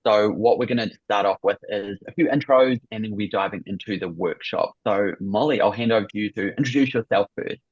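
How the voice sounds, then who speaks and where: A middle-aged man with a New Zealand accent, warmly hosting an online workshop over a video call with effusive welcomes. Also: lowish voice, brisk, few pauses, slightly rough, dry recording, dull audio. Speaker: business coach and workshop host.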